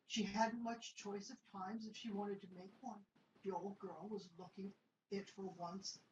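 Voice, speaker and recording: A woman reading science-fiction story narration aloud in a steady, measured way. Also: medium pitch. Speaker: reader in a radio theatre group. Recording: echoey, dull, distant mic with faint noise.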